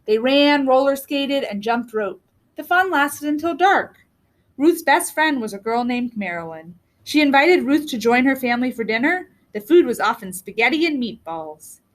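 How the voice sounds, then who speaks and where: A woman reading a children's book aloud as a storyteller, with deliberate, expressive phrasing. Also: high-pitched. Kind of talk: read-aloud storybook narration.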